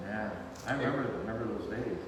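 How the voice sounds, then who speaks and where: A man's voice: fairly low pitch, fast talker. A man hosting a casual interview, jokey and chatty with frequent laughs. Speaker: host. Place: large distillery hall indoors. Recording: echoey hall from far off with noise.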